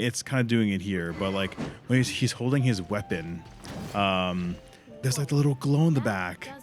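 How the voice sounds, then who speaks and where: A man doing casual livestream commentary on a game, informal and sweary, thinking out loud as he plays. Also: on the low side. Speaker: live game streamer.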